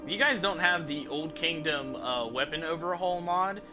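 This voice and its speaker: A man's voice: fairly high pitch, moderate pace. A man giving casual live commentary on a game he is streaming, crude and offhand. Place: small indoor room at home. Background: game music and sounds.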